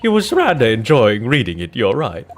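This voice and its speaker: A man voicing a quick-tempered, pompous married woman in a courtroom game, huffy and easily flustered. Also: medium pitch, wildly swooping pitch, faint music behind. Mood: indignant. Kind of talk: dramatic video game character voicing.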